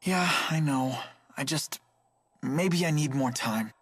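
A gentle, caring teenage boy in a fantasy game, acted by a man. He sounds youthful, earnest and a little hesitant, with a husky grain. The sound is dry. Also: a little high, takes it slowly, no room sound, heavily acted. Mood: earnest, unsure. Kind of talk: English game voice acting.

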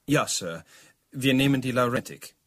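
An actor playing an efficient detective sergeant, deferential and precise, in a German radio drama. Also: male voice, medium pitch, animated, normal speed, breathy edge. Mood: factual.

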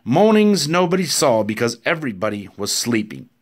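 A man voicing a gentle, melancholy male owl in a storybook reading, his voice slightly raised and mournful. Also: medium pitch, sing-song, normal speed.

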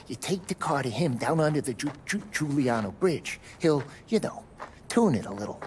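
A man's voice: mid-range voice, very animated, moderate pace, darkish tone, natural voice. Man as stuttering garage mechanic, nervous, eager, game dialogue, faint background noise. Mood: anxious, eager. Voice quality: heavy stutter. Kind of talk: cinematic video game dialogue.

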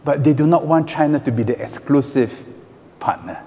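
A man on a conference panel, arguing his points in a measured, emphatic way with hand gestures. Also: on the high side, warm tone. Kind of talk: panel discussion. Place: large conference hall stage. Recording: reverberant conference hall.

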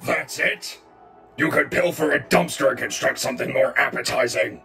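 A man's voice: mid-range voice, moderate pace, gravelly, pushed and bright. A man voicing a haughty, indignant robot in a sci-fi cartoon, with a pompous manner. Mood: disdainful. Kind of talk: animated sci-fi character acting. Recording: distant, music behind.

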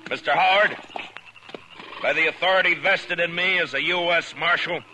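An actor playing a firm, dry Old West marshal in a 1950s radio western, speaking with deliberate authority. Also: male voice, a little high, bright, forceful tone, low-quality recording. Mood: stern, commanding. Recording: hissy 1950s radio broadcast.

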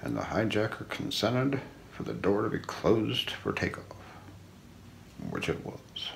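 A middle-aged man reading a scripted true-crime story as ASMR role play, in a measured, solemn documentary manner. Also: fairly low pitch, big pitch swings, creaky, a little hiss or hum. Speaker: narrator of an unsolved-mystery story. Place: small indoor room.

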